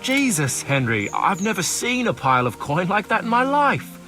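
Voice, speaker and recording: A man's voice: sits high, sing-song, moderate pace, sharp tone, faint music behind, full character voice. A man playing a cowardly, roguish young turncoat in a video game cutscene, whining, wheedling and foul-mouthed. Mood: pleading, scared. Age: young adult.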